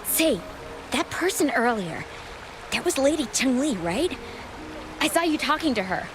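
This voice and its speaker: A woman's voice: high-pitched, sing-song, measured pace. A woman playing a curious, earnest female adventurer and eager admirer in a fantasy video game, with music behind her. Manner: earnest, inquisitive.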